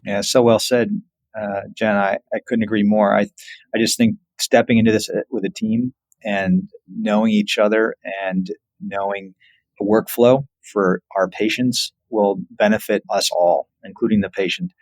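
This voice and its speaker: A man speaking as a physician researcher in an interview, earnest and emphatic while explaining findings in measured phrases. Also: on the low side, steady tone, no room sound, rough recording. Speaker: physician researcher, podcast guest.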